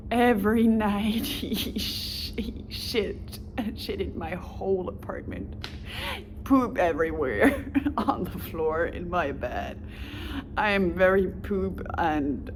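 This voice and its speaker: A woman's voice: lowish voice, background noise. A woman with a German accent, whose first language is German, introducing herself in a casual, rambling way with restarts and small laughs. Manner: rambling with self-corrections and light laughs. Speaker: volunteer applicant. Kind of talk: casual self-presentation.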